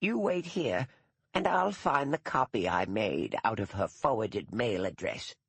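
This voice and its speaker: A man voicing a stern, dour elderly woman, a retired schoolteacher who speaks slowly with prim, precise diction. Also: lowish voice, sing-song, creaky, a bit bright, breathy edge, no echo, pitched above the performer's own voice, heavily acted.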